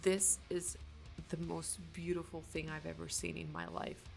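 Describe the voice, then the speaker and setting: A woman's voice: low voice, little pitch movement, normal speed, gravelly, faint music behind. A woman from Finland with a Finland-Swedish accent and Swedish as her first language, gushing with enthusiasm as she hosts casual reaction commentary. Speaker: reaction video host.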